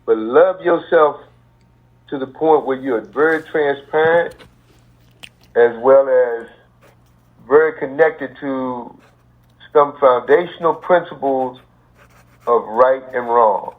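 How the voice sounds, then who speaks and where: A man, a basketball coach, speaking deliberately as a podcast guest from his daughter's apartment. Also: mid-range voice. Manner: slow, deliberate, repeats himself as he starts. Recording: tinny phone line.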